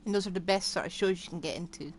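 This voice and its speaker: A woman's voice: on the low side, very fast, a little hiss or hum. A woman streamer giving casual, offhand live commentary and reactions while playing a game. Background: game music.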